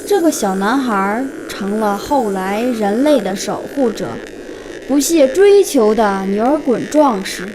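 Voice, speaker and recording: A woman narrates a folk epic for an animated film as a scripted story, in a measured, formal reading. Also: fairly high pitch, noisy background. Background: music.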